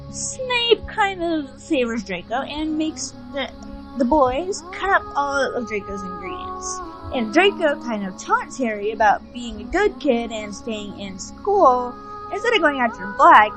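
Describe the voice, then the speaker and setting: A woman with a fairly high, animated voice hosts an informal story recap. She is chatty and playful, talking over clear background music. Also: low-quality recording. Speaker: host giving a recap.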